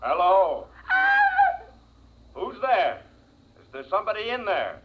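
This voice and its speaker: An actor playing an astonished scientist scout with an emphatic delivery, in 1950s radio drama style. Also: male voice, sits high, sing-song, takes it slowly, very noisy, heavily acted. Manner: emphatic, incredulous. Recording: dull vintage radio recording.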